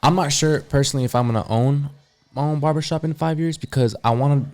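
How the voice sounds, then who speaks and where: A man's voice: a little high, fast, darkish tone. A man in his early twenties, a barber, talking in a casual group chat and rambling with lots of "like" and "you know.". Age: 20s.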